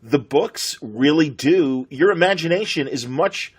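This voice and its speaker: A man's voice: fairly high pitch, expressive, measured pace, breathy edge. A middle-aged man with an American accent chatting casually as an interviewer, enthusiastic and given to rambling reminiscence. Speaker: podcast host interviewer.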